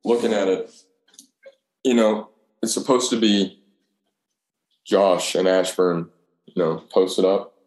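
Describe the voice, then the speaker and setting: Low-voiced man in echoey room. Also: takes it slowly, long pauses, darkish tone. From Alabama, United States. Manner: profane, slangy, acts out dialogue. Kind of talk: casual chat, telling rambling anecdotes.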